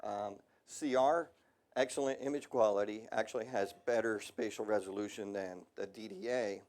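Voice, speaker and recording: A middle-aged man, lowish in pitch, presenting at an industrial seminar. He talks casually and conversationally, padding thoughts with ums and asides. His voice sounds slightly worn. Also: steady tone, moderate pace, darkish tone, slight background noise. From United States. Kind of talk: technical seminar talk.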